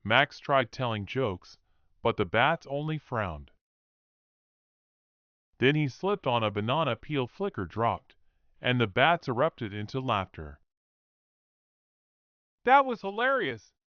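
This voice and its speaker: Male children's storyteller: unhurried, expressive, lively melody, dry close sound. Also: mid-range voice, dry recording, lo-fi sound. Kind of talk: scripted children's story narration for English learners.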